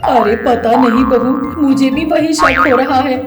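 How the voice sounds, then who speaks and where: A woman playing a kindly village mother-in-law in a family story, affectionate and gently chiding. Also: sits high, a little slow. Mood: puzzled. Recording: echoey voice over background music.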